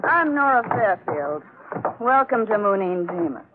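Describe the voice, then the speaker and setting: An actress playing a cool, world-weary island wife, poised and direct, in 1940s radio adventure style. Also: female voice, mid-range voice, big pitch swings, measured pace. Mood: cool, dry. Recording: tinny, narrow 1940s radio recording, faint noise.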